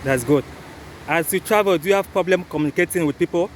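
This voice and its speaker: A man's voice: on the high side, fast, a little hiss or hum. A man interviewing in English, asking short questions with brief acknowledgements.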